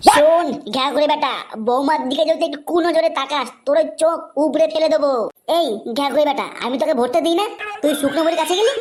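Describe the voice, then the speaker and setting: A woman's voice: sits high, quick pace, darkish tone, lightly acted. A woman voicing a furious grandmother in a comic cartoon, scolding her cheating husband with crude threats. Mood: threatening.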